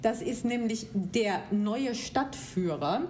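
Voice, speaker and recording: A woman's voice: on the high side, expressive, takes it slowly, darkish tone, slight background noise. A woman from Germany, co-hosting a podcast and chatting with enthusiasm. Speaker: podcast co-host. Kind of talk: casual podcast chat.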